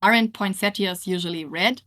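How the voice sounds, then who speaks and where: A woman's voice: medium pitch, normal speed, a bit bright, lightly acted. Woman reading as male demon, storybook character, cynical, grumbling, laconic, sardonic. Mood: guarded, puzzled.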